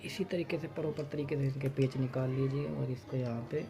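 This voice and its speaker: Brisk man talking through a repair. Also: medium pitch, steady tone. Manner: brisk, instructive, repeats "fatafat" and "theek hai". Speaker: repair tutorial host. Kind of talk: hands-on repair demonstration.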